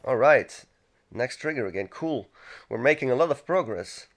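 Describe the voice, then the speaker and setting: A man's voice: medium pitch, lively intonation, normal speed. A man casually commenting on his game as he plays, thinking aloud and reading text from the screen. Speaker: let's play gamer.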